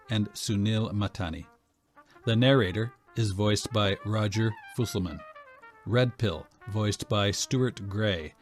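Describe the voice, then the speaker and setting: A man reading a scripted cast introduction as an announcer, measured and presentational. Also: fairly low pitch. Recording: faint music behind.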